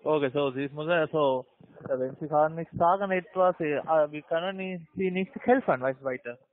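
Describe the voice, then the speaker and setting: Halting foreign-accented man on muffled phone line. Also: on the high side. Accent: foreign, non-native German. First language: not German. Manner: halting, muddled, repeats words. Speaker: call centre agent. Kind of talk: unscripted phone sales call.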